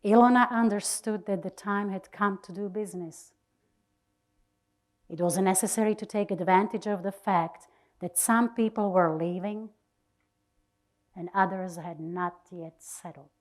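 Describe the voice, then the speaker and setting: A woman's voice: mid-range voice, fairly even intonation, unhurried, darkish tone. A woman giving a dramatic reading of a personal diary to an audience. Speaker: reader at a literary event.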